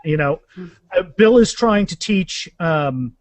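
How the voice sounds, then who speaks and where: A man's voice: on the high side, expressive, slow pace, no room sound. A man talking casually as an author in a podcast interview, often restarting and repeating words. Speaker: author being interviewed about their fantasy series.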